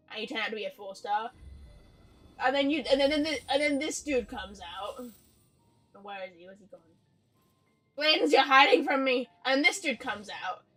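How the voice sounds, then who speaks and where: Female game streamer: highish, bright voice, chatty and playful, loose rambling delivery, music faintly behind. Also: poor audio. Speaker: livestreamer. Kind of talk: casual gaming stream chat.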